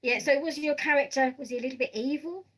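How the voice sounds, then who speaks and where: A woman's voice: high voice, fast, a bit bright. A woman hosting a conversational podcast interview, affirming and encouraging her guest.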